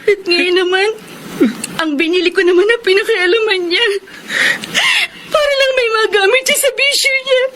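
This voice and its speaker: A woman's voice: very high-pitched, moderate pace, fairly bright tone, a little hiss or hum. An actress in a radio drama playing a tearful young woman who pleads to protect her family. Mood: distressed. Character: young woman Chona, tearful eldest daughter.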